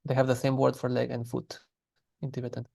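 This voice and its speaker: Gravelly-voiced man teaching a language class. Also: mid-range voice, a little fast. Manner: patient, relaxed, with many fillers and restarts. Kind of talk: explaining grammar in a class.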